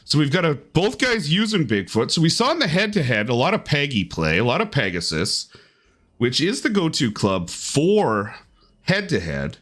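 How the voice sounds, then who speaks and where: Lively man hosting game commentary. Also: a little high, normal speed. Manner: upbeat, lively play-by-play. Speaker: tournament host and commentator.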